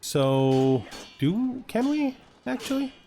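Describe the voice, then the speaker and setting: A man's voice: very high voice, very animated, slow pace, warm tone. A man giving casual let's-play commentary, thinking aloud in fragments and asking himself questions as he goes. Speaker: gaming video host. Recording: music behind the voice. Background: background music.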